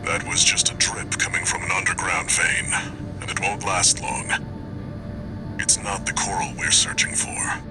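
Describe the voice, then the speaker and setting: Very deep, gruff mercenary handler. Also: male voice, steady tone. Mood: grave, businesslike. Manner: terse, measured, commanding. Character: gruff older male mercenary handler, terse and controlled. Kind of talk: video game cutscene voice acting. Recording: loud music under the voice.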